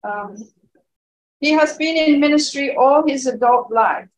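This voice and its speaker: A woman reading a speaker's introduction from a sheet in a church, measured and plain. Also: sits high, low-quality recording. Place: church sanctuary.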